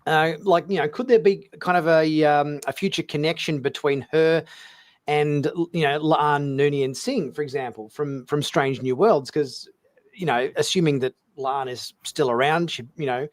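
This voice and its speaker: Higher-voiced man, hesitant talk host. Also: normal speed. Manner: hesitant, roundabout questioning. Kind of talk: casual discussion.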